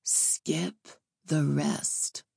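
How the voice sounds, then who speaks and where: A woman's voice: on the low side, steady tone, moderate pace, gravelly, dark tone, husky, no echo, heavily acted. A woman acts a battle-worn female emissary in a fantasy audiobook. She is brusque, impatient and irreverent, delivering her demands with sardonic bite in a dry, close sound. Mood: curt. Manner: curt, sardonic. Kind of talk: audiobook character voice.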